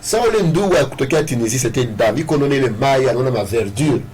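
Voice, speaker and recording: A man's voice: mid-range voice, lively intonation, fast talker. A man with a Congolese accent being interviewed as a political candidate, switching between Swahili and French in an emphatic, self-assured way and repeating phrases. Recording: clearly noisy background ambience.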